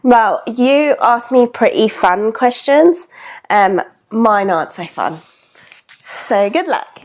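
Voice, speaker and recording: A woman's voice: fairly high pitch, expressive, slow pace. A woman casually interviewing her co-host on a podcast, asking questions in a chatty style.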